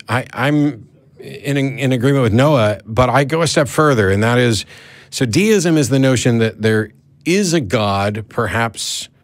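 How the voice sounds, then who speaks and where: A man's voice: mid-range voice, dry recording. Blunt, argumentative man hosting a call-in debate. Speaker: call-in show host. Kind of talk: live debate with a caller.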